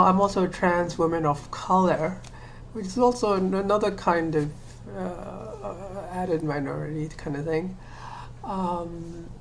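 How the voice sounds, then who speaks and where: A woman's voice: on the low side, a little hiss or hum. A woman from Sri Lanka talking to camera in a casual monologue, hesitant, with many fillers and restarts. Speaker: vlogger.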